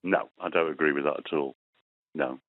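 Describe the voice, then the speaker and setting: A man's voice: extremely low pitch, fairly even intonation, normal speed, no room sound. A male caller on a phone-in debate, speaking hesitantly with many fillers. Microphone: telephone. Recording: tinny phone line, dry.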